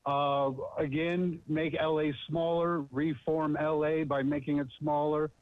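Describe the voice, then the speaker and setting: Brief: somewhat high-voiced man, blunt and repetitive, muffled phone sound. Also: flat delivery, unhurried, no room sound. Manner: blunt, repeats slogans, filler-heavy. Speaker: public commenter calling in. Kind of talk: public comment speech.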